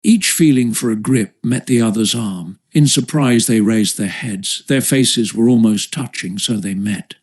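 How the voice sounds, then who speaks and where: A man's voice: on the low side, a little slow, hardly any pauses, darkish tone. A man reading a classic children's adventure story aloud as an audiobook narrator, with a literary storytelling delivery.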